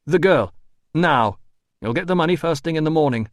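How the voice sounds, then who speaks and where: A man's voice: medium pitch, lively intonation, moderate pace, dry recording, clean studio sound, lightly acted. A man voicing an insistent army doctor in an audiobook, with a clipped, authoritative manner. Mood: demanding. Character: urgent, commanding male army doctor. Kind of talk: audiobook character voice.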